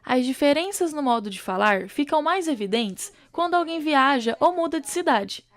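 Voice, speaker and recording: A woman with a Brazilian Portuguese accent, a student reporter, reading a scripted news voice-over in a measured, clear way. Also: high-pitched, lively intonation, a little hiss or hum.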